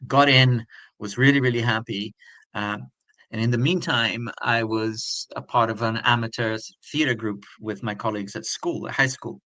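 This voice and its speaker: Bright-voiced Brazilian-born man musing. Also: medium pitch, steady tone, moderate pace, dry recording, rough recording. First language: Portuguese. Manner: reflective, thinks aloud with frequent restarts. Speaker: guest. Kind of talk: casual interview storytelling.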